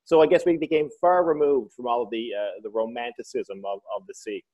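A man's voice: mid-range voice, expressive, brisk, dark tone, muffled recording. A man, a comedian and author, telling joking stories in a casual interview. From Newfoundland. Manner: joking storyteller, slips into voices.

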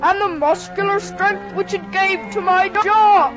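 A woman's voice: extremely high pitch, a little slow. A woman voicing a stern elderly father in a recited verse, in a dull old film recording with music behind. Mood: gruff. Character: gruff elderly father answering his son.

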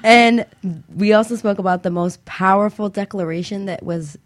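A woman's voice: mid-range voice, normal speed, no echo. A woman co-hosting a casual talk radio show, discussing and recapping in a conversational way.

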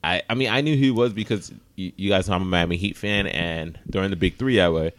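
A man's voice: low-pitched, quick pace, a bit bright, no room sound. A man hosting a casual sports talk podcast, speaking in a conversational, opinionated way. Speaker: podcast host.

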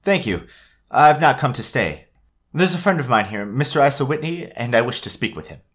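A man's voice: mid-range voice, big pitch swings, normal speed, a bit bright, pitched above the performer's own voice. A man voicing an earnest, upright doctor in a storybook reading, in his own voice. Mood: stern.

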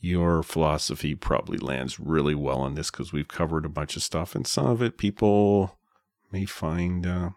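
A man's voice: extremely low pitch, moderate pace, darkish tone, no echo, clean studio sound. A man co-hosting a podcast, chatting casually and addressing listeners directly. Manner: friendly, wraps up the show addressing listeners. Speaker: podcast co-host.

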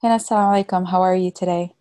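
A woman hosting an interview talk show, reading a scripted opening and then asking relaxed questions. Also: on the low side, rapid-fire, no echo.

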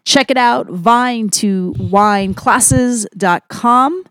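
A woman's voice: a little high, extremely slow, no echo. A woman co-hosting a comedy club show, chatting casually in a bubbly, upbeat way with frequent laughs. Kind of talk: casual co-host chat.

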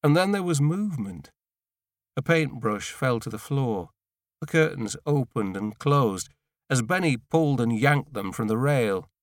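A man narrates a literary audiobook in a medium-pitched voice. His delivery is measured and deliberate, phrase by phrase, in a very dry recording with no room sound. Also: expressive, dry recording. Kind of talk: scripted literary narration in the first person.

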